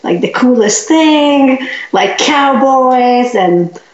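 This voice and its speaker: A woman from Italy telling her life story as a guest in a casual interview, in a lively, upbeat way. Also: high voice, very slow, reverberant, highs cut off.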